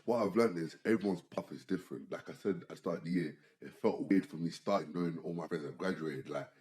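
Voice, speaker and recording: A man, co-hosting a casual podcast chat, animated, often saying "bro" and "like" and restarting his sentences. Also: deep voice, brisk. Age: 20s. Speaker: podcast co-host.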